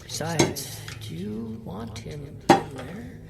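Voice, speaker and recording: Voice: mid-range voice, lively intonation, takes it slowly, creaky, heavy background noise, heavily acted. A performer playing a sinister ghostly voice in a horror audio drama, taunting and needling from a distant echo. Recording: echoey, distant, loud music behind.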